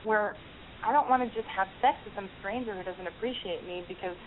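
Woman podcast host, chatty and run-on, narrow lo-fi phone-like audio with faint hiss. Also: mid-range voice. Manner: talkative, quick restarts, frequent "like". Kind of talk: casual call-in chat and storytelling.

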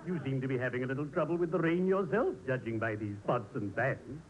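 A man's voice: medium pitch, animated, brisk, lo-fi sound, close to an everyday voice. Genteel macabre undertaker, played by a man, grandly polite, vintage radio comedy, heavy noise and clicks. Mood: genteel, droll. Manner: florid, formal, macabre drollery. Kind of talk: 1940s radio comedy acting.

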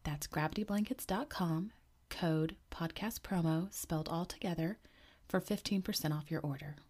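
A woman reading a scripted sponsor advertisement in a smooth, even promotional delivery. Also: lowish voice, normal speed. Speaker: ad reader.

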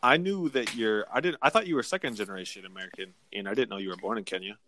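A man co-hosting a podcast, throwing in quick, chummy banter. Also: lowish voice, sharp tone, slight background noise. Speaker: podcast co-host. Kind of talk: casual interview chat.

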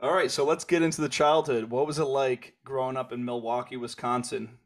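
A man hosting an interview podcast, asking brief questions in a relaxed, casual way. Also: medium pitch, a little fast. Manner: laid-back, brief questions. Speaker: podcast host.

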